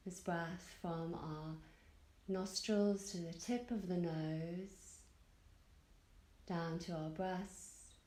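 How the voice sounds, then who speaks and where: A woman yoga teacher leading a guided meditation in a gentle, soothing manner, leaving long pauses between phrases. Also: lowish voice, echoey room. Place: indoor room at a retreat.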